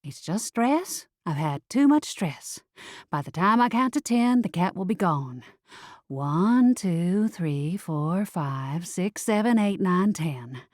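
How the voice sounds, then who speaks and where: A woman's voice: lowish voice, lively intonation, slow, darkish tone, no echo, studio quality. A woman playing a frantic, incredulous young woman in an audiobook story. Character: panicky, disbelieving young woman, newly told she's a witch.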